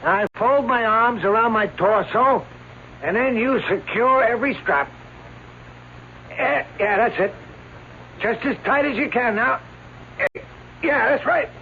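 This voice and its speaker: A man's voice: extremely high pitch, a little slow, pauses often. An actor playing an elderly male stage escape artist who talks in grand, theatrical showman patter, in vintage radio drama acting. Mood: coaxing. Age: older. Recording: tinny old radio recording with hiss.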